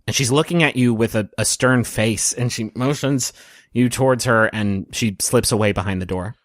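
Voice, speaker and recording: A man narrating a tabletop roleplaying story as game master, in a gentle, halting, descriptive way. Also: medium pitch, dry recording, clean studio sound.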